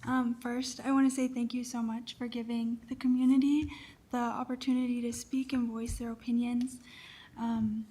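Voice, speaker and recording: A woman's voice: fairly high pitch, level tone, moderate pace, dark tone, breathy edge. A young woman from Iowa giving an earnest prepared public comment at a county meeting. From Des Moines, Iowa. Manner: earnest, reads from notes.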